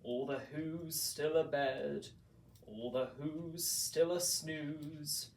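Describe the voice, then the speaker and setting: A man reading a rhyming storybook aloud in a measured, theatrical, vintage horror-actor style. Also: fairly high pitch, steady tone. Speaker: storybook narrator. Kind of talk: dramatic read-aloud imitating vintage horror-actor narration. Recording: roomy echo, distant from microphone.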